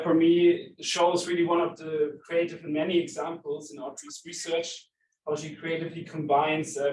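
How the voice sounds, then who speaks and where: A man introducing a guest speaker at a talk, hesitant, with many fillers. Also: a little high. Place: lecture room. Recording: echoey room, distant mic, faint noise.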